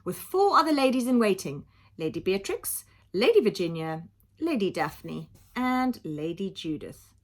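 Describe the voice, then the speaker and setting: A woman's voice: mid-range voice, big pitch swings, unhurried. A woman reading a children's storybook aloud like a teacher, with dramatic emphasis and simple explanatory asides.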